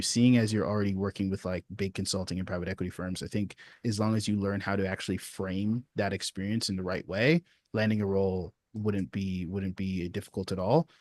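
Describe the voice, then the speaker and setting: A man's voice: fairly low pitch, no echo. A man coaching sales on an online video call, casual and encouraging, calling people dude.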